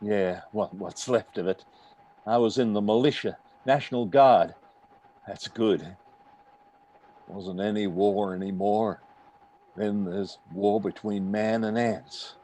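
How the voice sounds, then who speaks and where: An actor playing a paranoid, beaten militia soldier in a radio play, with a jittery, stammering, emphatic delivery. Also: male voice, fairly low pitch, fairly even intonation, takes it slowly, long pauses, warm tone. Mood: grim, intense. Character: paranoid, defeated militia soldier guarding his territory. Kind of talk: radio play reading, dramatic dialogue.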